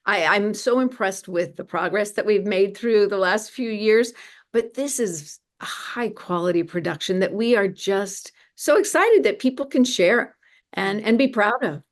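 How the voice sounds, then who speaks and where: A woman's voice: mid-range voice, a little slow. A woman speaking earnestly and enthusiastically as an interview guest promoting her organization's work. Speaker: guest, media ministry representative.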